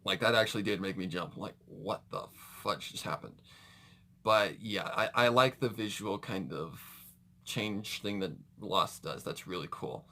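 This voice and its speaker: Bright-voiced man rambling through casual reaction commentary. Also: low-pitched, unhurried. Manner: rambling, self-correcting, mild slang exclamations. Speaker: reaction video host.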